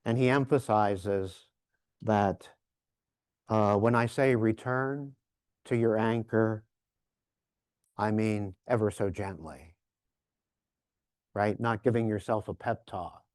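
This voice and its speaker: A man giving a reflective, informal conference lecture, halting and repeating words as he emphasizes key phrases. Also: on the low side, darkish tone, dry recording, studio quality. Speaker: professor giving a keynote workshop.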